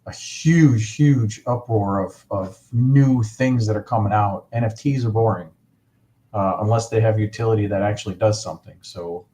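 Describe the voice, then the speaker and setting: A man's voice: on the low side, normal speed, mellow. A man hosting a casual livestream, chatting enthusiastically and rambling with lots of fillers. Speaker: livestream host.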